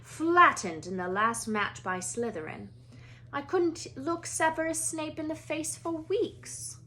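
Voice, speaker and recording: A stern older schoolmistress, as a woman reader puts her on in a storybook. She is crisp and commanding, with pitch lifted well up. Faint noise sits behind her. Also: expressive, takes it slowly, pushed and bright, exaggerated voice.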